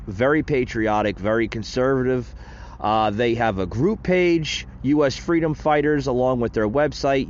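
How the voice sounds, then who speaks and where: A man's voice: mid-range voice, a little hiss or hum. A man hosting a podcast who talks straight to his listeners, often calling them "guys". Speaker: podcast host. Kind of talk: casual podcast talk to listeners. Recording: muffled lo-fi.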